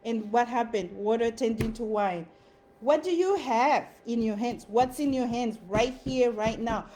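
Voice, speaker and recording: A woman's voice: on the high side, measured pace, dark tone, slight background noise. A woman with a Zimbabwean accent giving an earnest motivational talk as a content creator. She drives her points home by repeating short phrases.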